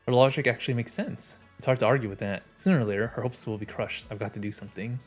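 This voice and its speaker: A man's voice: medium pitch, fast, soft background music. A man reading a video game's story text aloud as he streams. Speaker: game streamer.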